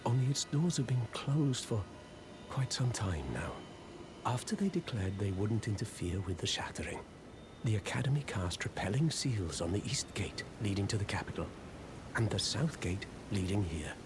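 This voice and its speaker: Man acting meek apprentice sorcerer, swooping pitch. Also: lively intonation, moderate pace, music in the background, natural voice. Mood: wistful, resigned. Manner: apologetic, self-deprecating, hesitant. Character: hapless, humble apprentice sorcerer, meek and wistful. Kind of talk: video game character dialogue.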